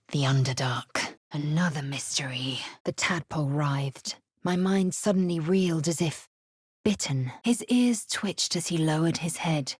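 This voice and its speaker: A woman playing a proud, stern githyanki warrior woman in a video game, with a husky rasp and a clipped, imperious, formal delivery. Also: low-pitched, darkish tone, no room sound, clean studio sound. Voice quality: husky, rasping. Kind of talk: dramatic game dialogue and narration.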